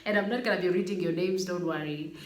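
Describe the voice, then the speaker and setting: A woman's voice: lowish voice, fast talker. A young adult woman with a South African accent, hosting a casual chat and reading out viewer stories, laughing often. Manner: lively, laughs often, code-switches into Xhosa. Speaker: host. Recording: echoey room, faint background noise.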